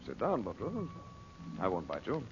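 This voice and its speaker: Cool, expressive radio adventure hero. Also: male voice, low voice, normal speed, dull audio, heavy background noise. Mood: calm, probing. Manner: calm, coaxing, firm. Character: cool, probing male adventurer hero, calmly commanding. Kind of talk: 1940s radio drama acting. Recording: band-limited 1940s radio recording with music.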